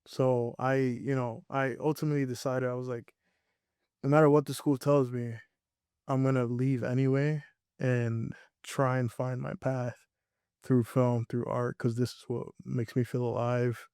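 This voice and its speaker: A man's voice: mid-range voice, level tone, unhurried, a little mellow, clear, resonant tone, dry recording, clean studio sound. A young man from Puerto Rico, raised in Connecticut, talking earnestly as a guest filmmaker in a casual interview, often restarting his sentences. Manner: earnest, reflective, with frequent restarts.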